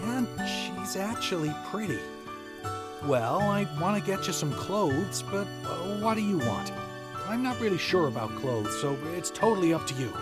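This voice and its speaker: Woman voicing an earnest young man, low-pitched and animated. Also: moderate pace. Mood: thoughtful, hesitant. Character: earnest, generous young male student smitten with a girl. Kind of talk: manga dub dialogue and first-person narration. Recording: music behind the voice. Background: background music.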